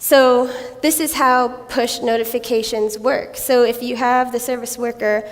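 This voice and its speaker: A woman speaks on a conference stage in a high voice that is raspy from a recent cold. She is playful and self-mocking and laughs often as she explains. The sound carries the long reverb of a hall. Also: steady tone, a little slow. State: recovering from a cold. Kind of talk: tech conference talk.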